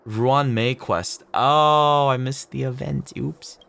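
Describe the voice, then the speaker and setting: A man's voice: medium pitch, fairly even intonation, slow pace, creaky, fairly bright tone. A male streamer chatting casually and reacting to a game in an informal, sweary, exclamatory way.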